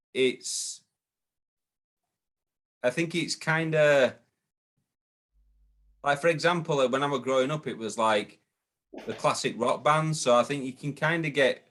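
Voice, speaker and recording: A man speaking as a guest in a relaxed chat. He hesitates often, stacking ums and restarting phrases, at a middling pitch. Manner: hesitant, lots of ums and repeated starts. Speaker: guest, booking agent. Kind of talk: casual interview chat.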